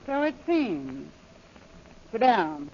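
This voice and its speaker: A woman's voice: mid-range voice, very animated, extremely slow, a little mellow, slight background noise, exaggerated voice. An actress playing a dangerous, commanding female villain with a mocking manner, in 1930s radio serial style. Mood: imperious. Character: imperious, dangerous female villain, coolly taunting. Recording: dull lo-fi old radio recording.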